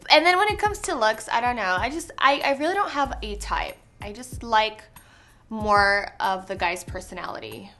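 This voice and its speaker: A young woman from Canada answering interview questions on set in a chatty, giggly way with lots of pauses and ums. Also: fairly high pitch, animated, bright, forceful tone.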